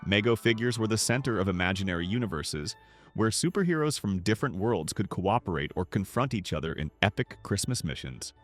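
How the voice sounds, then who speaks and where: A man reading a scripted, nostalgic documentary narration in a measured, polished way. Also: lowish voice, animated. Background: background music.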